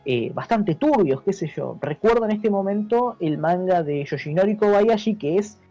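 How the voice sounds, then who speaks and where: A man with a Buenos Aires accent talks as a guest, explaining in a casual, halting way. His voice is dark and mellow. The recording is dull and dry, with faint music behind it. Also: high-pitched, expressive. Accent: Argentine Spanish, Buenos Aires. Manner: halting, repeats words, seeks agreement with tags. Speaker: interview guest, history teacher. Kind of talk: casual explanatory interview talk.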